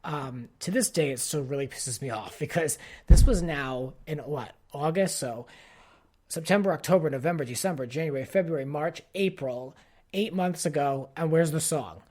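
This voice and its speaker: A man's voice: on the high side, normal speed, a little mellow. A man hosting a podcast, giving a casual, exasperated and opinionated fan commentary peppered with "like". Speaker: podcast host. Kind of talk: casual pop-culture commentary rant.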